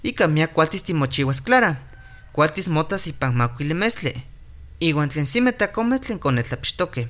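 An actor playing a calm clinic doctor in a radio drama, explaining and reassuring. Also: medium pitch, normal speed. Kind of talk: radio drama narration and acting. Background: music.

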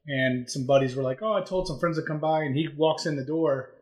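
A man's voice: on the high side, fairly quick, echoey room. A man in his forties from the DC area, telling rambling, nostalgic stories in a casual chat. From Maryland and Virginia, near DC. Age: 40s. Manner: rambling, nostalgic, restarts often. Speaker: skate shop owner, guest.

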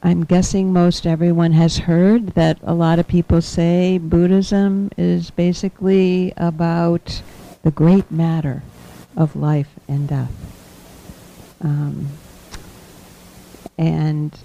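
A soft-spoken woman giving a gentle, measured talk as a guest teacher in a temple hall. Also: lowish voice, level tone, creaky. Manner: gentle, measured, with small laughs. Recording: dull lo-fi recording.

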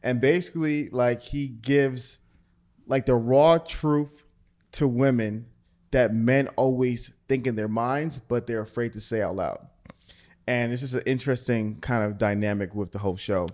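A man hosts a relaxed conversation, rambling a bit and laughing easily. His voice sits at an everyday middle pitch. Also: measured pace. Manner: easygoing, rambling, laughs often. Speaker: podcast host. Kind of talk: casual chat.

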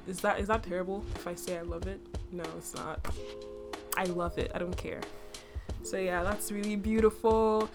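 Chatty young woman, slightly raspy. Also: medium pitch, steady tone, normal speed, music in the background. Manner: chatty, frequent "like" fillers, playful boasting. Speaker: podcast host. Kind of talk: casual solo podcast monologue.

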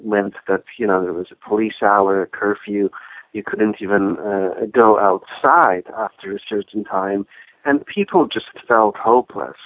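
A man in his forties with a Polish accent, a native Polish speaker, telling his story reflectively and with pauses as an interview guest. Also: on the low side, no room sound, muffled recording. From Poland. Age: 40s. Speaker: podcast guest, activist and author.

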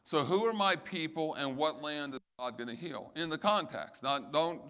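A man's voice: a little high, a bit bright, smooth. Male Bible teacher in a reverberant hall. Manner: halting, restarts phrases, slows on key words.

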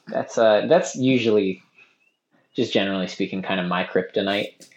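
A man's voice: fairly low pitch, moderate pace, reverberant. A young man co-hosting a podcast in casual chat, rambling with self-mocking jokes. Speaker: podcast co-host. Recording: dull lo-fi sound.

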